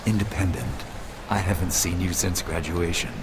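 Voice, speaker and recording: An actor playing a grave but gentle teacher in an audio drama, speaking in a measured, solemn, kindly way. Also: male voice, low voice, fairly even intonation, background noise. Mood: grave, regretful. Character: grave, gentle old teacher escorting former student to judgment.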